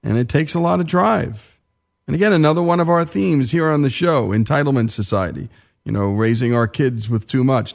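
A man's voice: mid-range voice, vocal fry, darkish tone. A man hosting a radio talk show, narrating in an emphatic, conversational way with frequent asides.

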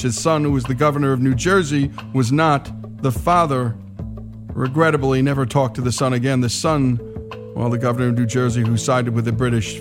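A man hosting a radio show, giving commentary in an emphatic, reflective manner. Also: medium pitch. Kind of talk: scripted radio commentary over music. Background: acoustic guitar music.

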